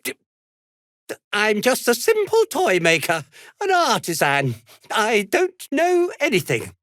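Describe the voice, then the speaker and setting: Game role: a self-important, slippery British toy maker. Theatrical and wordy, slow delivery with big melodic swings, close dry sound. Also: male voice, sits high, huge pitch swings, a bit bright, dry recording, heavily acted. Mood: flustered pleading. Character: fussy, irritable, self-important toy maker, male, slippery and easily flustered. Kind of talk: dramatic video game dialogue.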